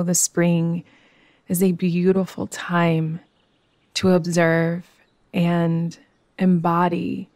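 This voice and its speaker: A woman teaching yoga nidra, guiding a meditation in a soothing, gentle way with long, unhurried pauses. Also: lowish voice, no echo. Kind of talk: guided meditation.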